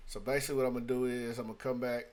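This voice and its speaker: A man's voice: medium pitch, level tone, rapid-fire, a little mellow, a little hiss or hum. A man giving a casual tutorial as a music producer, thinking aloud in a rambling way and often repeating his phrases. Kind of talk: casual screen-recorded tutorial talk. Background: hip-hop beat loops playing.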